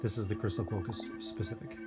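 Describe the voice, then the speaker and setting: A man giving a casual hands-on gadget review, speaking informally and hesitantly with pauses mid-thought. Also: on the low side, steady tone, over music. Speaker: product reviewer. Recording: clear background noise with clicks.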